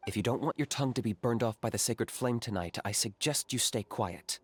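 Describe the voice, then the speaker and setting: A man voicing a calm, practical young warrior in a video game, giving instructions in a cool, level way. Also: mid-range voice, fairly quick, breathy edge, lightly acted.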